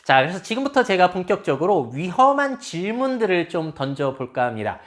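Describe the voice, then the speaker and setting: A woman's voice: lowish voice, very animated, brisk. A woman lecturing on social change, explaining step by step and asking her audience rhetorical questions. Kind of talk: lecture on future trends.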